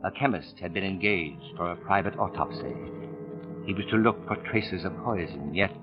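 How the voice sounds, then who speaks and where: Suave male crime writer played by an actor, low and theatrical, old radio mystery with music behind. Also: dull audio, natural voice. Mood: ominous, suspenseful. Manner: theatrical, precise, savoring pauses and hesitations. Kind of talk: 1940s radio mystery acting.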